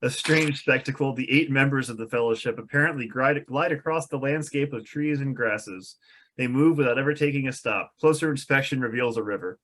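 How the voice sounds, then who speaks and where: A man serves as narrator at a fantasy table read. He reads scene descriptions and stage directions in a medium-pitched voice. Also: brisk, fairly bright tone, slight background noise.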